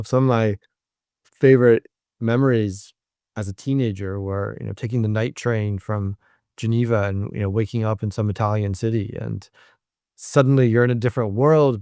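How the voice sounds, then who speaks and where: A man's voice: medium pitch, darkish tone, dry recording, clean studio sound. A man who is a professor, answering interview questions thoughtfully, with frequent ums and restarts. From United States.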